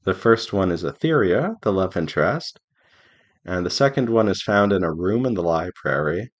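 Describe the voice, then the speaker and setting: A man giving an informal devlog update as an indie game developer, speaking haltingly as he thinks aloud. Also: low-pitched, lively intonation. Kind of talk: informal progress update to viewers.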